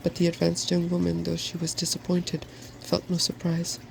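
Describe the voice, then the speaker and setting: A soft-spoken narrator gently reading a fairy tale in an ASMR style. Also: medium pitch, completely flat delivery, normal speed, gravelly, a little hiss or hum, poor audio.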